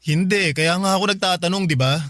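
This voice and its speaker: An actor playing an apologetic, questioning young boyfriend in a radio drama, with a Filipino accent. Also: male voice, high-pitched, a little fast, fairly bright tone, no echo, clean studio sound. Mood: suspicious. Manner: questioning, placating. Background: faint music.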